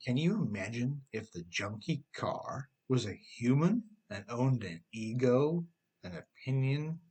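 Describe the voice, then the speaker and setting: A man with a husky, slightly rough voice at a medium pitch narrates his own self-help book. He reads like a conversational audiobook author, wry and given to sarcasm, turning to the listener with rhetorical questions.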